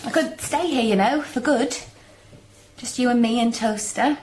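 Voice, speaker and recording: An actress playing a petulant, sulky grown daughter in a surreal radio comedy drama, with a British accent and melodramatic, argumentative manner. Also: female voice, a little high, normal speed, a little mellow, slightly rough. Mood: wistful. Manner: melodramatic, whining protests.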